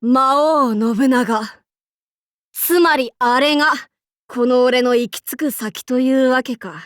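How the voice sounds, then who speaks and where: Androgynous young warlord, high voice, dry close sound, brash playful swagger, old-fashioned lordly speech, anime game character. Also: female voice, slow, no room sound. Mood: pensive.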